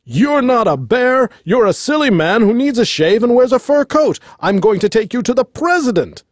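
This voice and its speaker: A man voicing a furious factory vice president in a storybook, yelling and blustering. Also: very high voice, very animated, normal speed, no room sound, studio quality, pitched above the performer's own voice, full character voice. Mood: rage.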